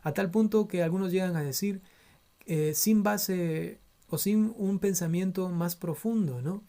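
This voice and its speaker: A priest from Ecuador teaches theology in an earnest, admonishing way, his Ecuadorian Spanish accent clear throughout. His voice is mellow and warm, and he keeps checking in with his listeners as he explains. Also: sits high, measured pace. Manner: earnest, admonishing, frequent tag questions. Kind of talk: theology lecture.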